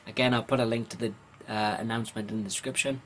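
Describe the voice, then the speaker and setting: A man from Wales gives an informal vlog-style news rundown in a halting, hesitant way, pausing often with ums. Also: fairly low pitch, fairly even intonation, a bit bright, slight background noise. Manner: halting, hesitant delivery with frequent pauses and ums. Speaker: comics vlogger.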